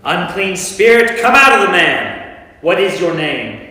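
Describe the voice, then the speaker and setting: A man playing an authoritative teacher of parables in a staged scripture reading, speaking deliberately in a church. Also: high voice, animated, sharp tone, faint music behind, exaggerated voice. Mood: forceful command. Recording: echoey church hall, faint background noise.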